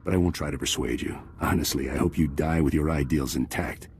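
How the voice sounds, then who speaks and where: An actor playing a grave, disillusioned military commander in a video game, speaking in a solemn, measured, formal way. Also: male voice, rumbling low, a little hiss or hum. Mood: bitter resolve. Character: disillusioned male traitor commander, grave and honorable soldier. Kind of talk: video game cutscene acting.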